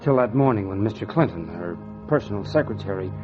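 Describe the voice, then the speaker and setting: An actor playing a resentful, meek young houseboy in a 1940s radio drama, speaking earnestly and with some melodrama. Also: male voice, on the low side, animated, a little fast, lightly acted. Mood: bitter narration. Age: young adult. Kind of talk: 1940s radio acting with first-person narration. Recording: dull vintage radio recording with music.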